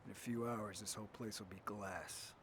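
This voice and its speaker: An actor playing a hard-nosed military operative, giving clipped, commanding briefings in a game cutscene. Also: male voice, fairly low pitch, fairly even intonation, normal speed, very noisy, lightly acted. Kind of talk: video game cutscene acting.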